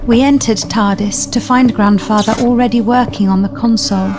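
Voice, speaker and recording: An actress playing a solemn alien granddaughter, narrating an audio drama in a measured, storytelling way. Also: female voice, a little high, fairly even intonation, background noise, close to an everyday voice. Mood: solemn, haunted. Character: solemn granddaughter of an alien time traveller, recounting tragedy.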